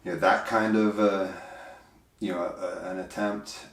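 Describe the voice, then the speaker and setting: A man with a big, deep voice, speaking as an interview guest in a reflective, hesitant way, full of fillers and restarts. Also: flat delivery, unhurried, distant mic. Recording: roomy, voice from off mic.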